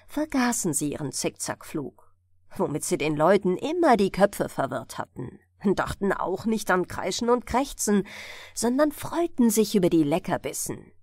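A woman reads a fairy tale as a bedtime audiobook. Her mid-pitched voice moves in lively, expressive curves. The recording is close and dry, with very little room. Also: normal speed, no echo. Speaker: audiobook storyteller.

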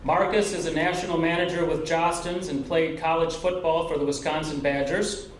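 A man's voice: on the high side, fairly even intonation, moderate pace. A middle-aged man reading a scripted introduction as event emcee at a podium microphone on a stage. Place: stage in an auditorium. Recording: echoey hall, some background noise.

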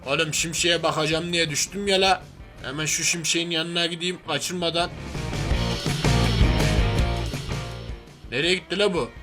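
A man's voice: on the high side, steady tone, a little fast, close to an everyday voice. A man voicing a crude, hot-headed, hairy oaf of a superhero in a comic game cartoon, with brash, slangy, grumbling street talk. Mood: grumbling, agitated. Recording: music behind the voice.

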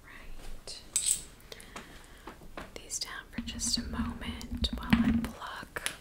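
A woman whispering slowly and softly as a Reiki practitioner, guiding the listener through an ASMR energy session. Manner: slow, soft guiding whisper.

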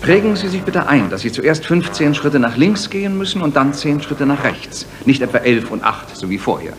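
An actor playing a precise, commanding, sardonic gentleman detective in a German radio play. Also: mid-range voice, big pitch swings, normal speed, over music.

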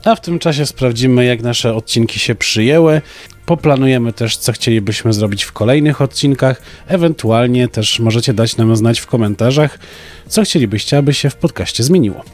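A young man speaks as a podcast co-host, giving a prepared book review. His voice sits at an average male pitch, with music playing behind him. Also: normal speed. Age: young adult. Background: background music.

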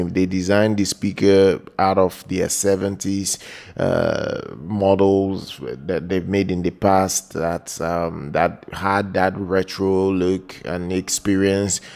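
A man with a very low, creaky voice hosts a product news voice-over. His delivery is flat and halting, circling back to repeat phrases and stretching out his hesitations as he goes. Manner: halting and repetitive, with drawn-out hesitations. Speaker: product news video host.

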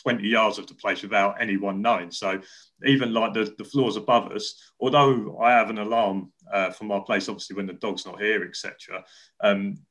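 A young man hosts an interview over a webcam call from his living room. His voice sits a little low. He talks in a chatty, rambling way, often circling back to restart his sentences. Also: fairly even intonation, moderate pace, fairly bright tone. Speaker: interview host. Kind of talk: casual video-call interview. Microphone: webcam on video call.

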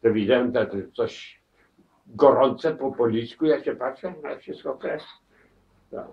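An elderly man shares his war memories in a crowded living room. He speaks haltingly, repeating words and restarting his sentences. He is picked up from across the room on a dull camera mic. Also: medium pitch. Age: older. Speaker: war veteran interviewee. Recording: distant, dull camera audio.

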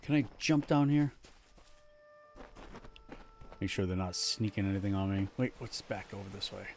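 A man's voice: fairly low pitch, moderate pace, slight background noise. A man in his thirties streaming a game from home, chatting casually and joking about his own play. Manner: chatty, self-mocking, restarts often. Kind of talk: casual live gameplay commentary.

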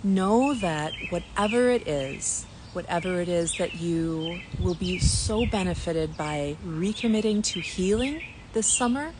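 A woman leading a spiritual guided meditation in a gentle, soothing manner, with long pauses between phrases. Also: fairly low pitch. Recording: clear environmental noise, birdsong.

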